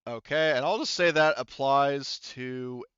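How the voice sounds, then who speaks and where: A man running an online tabletop roleplaying game as game master, thinking aloud in a halting way over a headset mic. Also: medium pitch, fairly bright tone, smooth, no echo, highs cut off. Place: online voice session.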